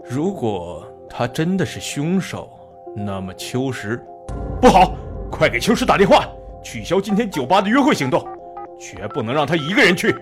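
A man's voice: on the high side, big pitch swings, normal speed, music in the background. A man acting a commanding police team leader in an audio drama. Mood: urgent, alarmed.

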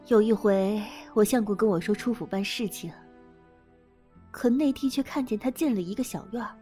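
A woman's voice: medium pitch, normal speed, dark tone, soft background music, natural voice. A woman playing a chatty, loyal married lady friend in a Chinese period audio drama. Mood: confiding.

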